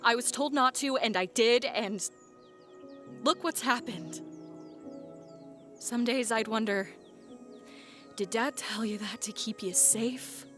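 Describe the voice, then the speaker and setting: Woman playing a half-genie girl, young, sarcastic, slow and high, soft music behind. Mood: troubled. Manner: dry, sarcastic banter. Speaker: voice actor. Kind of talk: audio drama acting. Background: faint background music.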